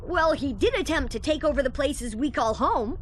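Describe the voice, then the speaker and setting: Boy genius, high voice, precise, matter-of-fact, cartoon game, music behind. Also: animated, moderate pace.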